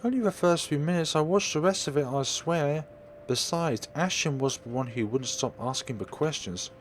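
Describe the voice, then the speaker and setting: Visual novel friend role: young woman, eager, hesitant babbler, acted by a man, lifted pitch, noisy background. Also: moderate pace, lightly acted. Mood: earnest, hesitant. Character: Isabel, chatty, earnest, clumsy young woman friend.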